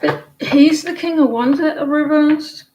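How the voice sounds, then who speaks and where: A woman's voice: high voice, measured pace, a little mellow, muffled recording, faint music behind. A woman in her forties from northeast England, with a northeast English accent, giving a casual, rambling tarot reading full of fillers and restarts. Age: 40s. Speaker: tarot reader.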